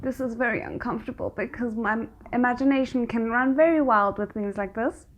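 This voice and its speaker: A woman's voice: a little high, a little fast, dull audio. A woman giving casual spoken reaction commentary as a host, squeamish and dryly sarcastic. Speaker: host of a reaction video channel.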